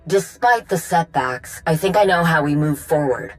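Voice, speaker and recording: A proud warrior mechanic in a video game. She talks in a practical, guarded way with a wry edge. Music sits softly underneath. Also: low-pitched, animated, normal speed, no room sound, rough recording. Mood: matter-of-fact. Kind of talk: video game cutscene acting.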